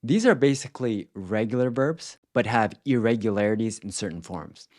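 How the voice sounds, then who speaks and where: A man presenting a language lesson in scripted instructional narration, explaining clearly and enunciating example words with care. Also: on the low side, darkish tone. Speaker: language teacher presenting a video series.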